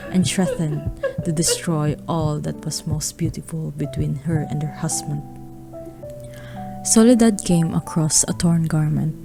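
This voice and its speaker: A low-voiced woman narrates a radio drama with noticeable creak in her voice. She reads literary story prose in a measured manner, with music playing clearly behind her.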